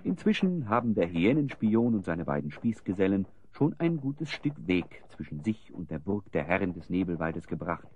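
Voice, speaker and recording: Animated, lowish-voiced male storyteller. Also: normal speed, hardly any pauses, narrow, tinny audio, slight background noise. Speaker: narrator. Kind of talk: scripted audio drama narration.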